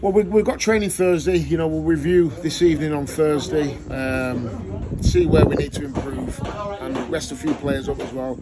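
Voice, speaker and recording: A man in his thirties, a football manager giving a frank, measured post-match interview, often repeating words as he thinks. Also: a little high, steady tone. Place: outdoors at a football ground. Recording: loud wind and background noise.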